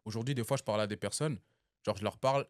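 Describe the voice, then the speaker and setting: Young guy from cameroon, chill slangy chat, gets animated telling stories, dry close sound. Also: fairly low pitch, very fast, no room sound. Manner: animated storyteller, laughs, street slang. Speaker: guest. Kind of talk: casual chat.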